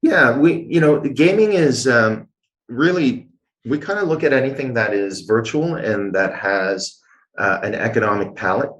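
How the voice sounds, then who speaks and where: A man's voice: mid-range voice, animated, measured pace, fairly bright tone, poor audio. A man from Canada, a guest answering interview questions in an explanatory way, with many filler sounds. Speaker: guest, blockchain executive.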